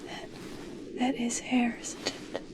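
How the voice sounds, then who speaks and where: Woman playing infatuated female scientist, ASMR roleplay. Breathy whisper, unhurried, halting, tenderly fixated. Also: lightly acted, poor audio. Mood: tender fascination. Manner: slow, gentle, halting, fixated. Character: obsessed, adoring female scientist studying a captive merperson.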